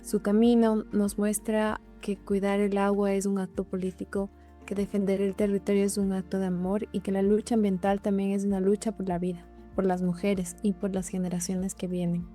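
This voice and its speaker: A young woman with a mellow, warm voice reads a guest's introduction in a formal, heartfelt way. She co-hosts a podcast, and soft music plays faintly behind. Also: medium pitch, little pitch movement, moderate pace. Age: young adult. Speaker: podcast co-host.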